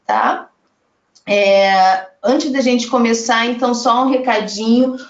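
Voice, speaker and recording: A woman's voice: a little high, fairly even intonation, slow pace. A woman from Brazil moderating a live online panel, chatting and reading audience comments aloud over a video call. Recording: echoey, muffled web call audio.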